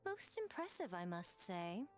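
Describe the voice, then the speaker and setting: A woman's voice: fairly low pitch, sing-song, a little slow. A woman playing a sly, self-assured, elegant shrine priestess in a video game, with a poised, teasing manner. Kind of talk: video game character acting.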